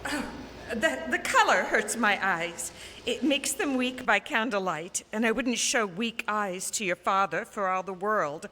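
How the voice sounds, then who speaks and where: A woman playing a gentle, grieving Victorian mother who keeps up a brave face, in a radio drama. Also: mid-range voice, lively intonation, unhurried, a little hiss or hum. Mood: tender, sad. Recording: reverberant hall sound.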